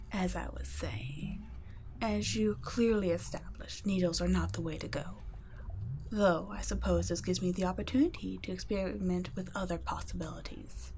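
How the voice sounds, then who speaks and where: A woman voicing a cool, condescending female vampire scientist in an ASMR roleplay, soft-spoken and patronizing, often dropping to a whisper. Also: on the low side, a little hiss or hum, close to an everyday voice.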